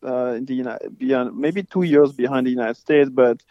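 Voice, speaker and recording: A man's voice: medium pitch, normal speed, dry recording, dull audio. A man with a Swiss French accent, a native French speaker, talking casually as an interview guest with many hesitant fillers. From French-speaking Switzerland. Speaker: guest forensic scientist.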